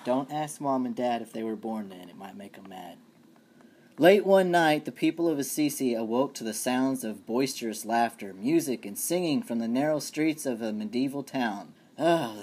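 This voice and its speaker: Someone reading a kids story out loud, playful n expressive, medium pitch voice. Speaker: story reader for all ages. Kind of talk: children's story read aloud.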